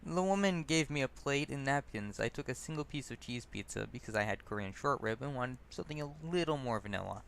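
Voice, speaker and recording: A man's voice: mid-range voice, expressive, brisk, gravelly, few pauses, fairly bright tone, slight background noise. A man reading his own personal essay aloud as narrator, stumbling over words and correcting himself. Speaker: narrator and author.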